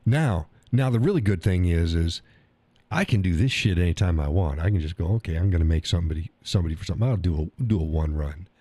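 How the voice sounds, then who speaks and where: Low-voiced man, stuffy, rambling. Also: expressive, fast, warm tone, no room sound. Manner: rambling, folksy, reads chat aloud. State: stuffy nose. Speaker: live stream host. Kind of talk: casual live stream chat. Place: home workshop room.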